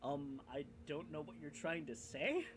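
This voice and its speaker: Animated man streaming with a noisy background. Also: a little high, a little slow. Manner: animated, reacts to chat. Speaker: streamer, voice actor. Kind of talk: casual stream chat.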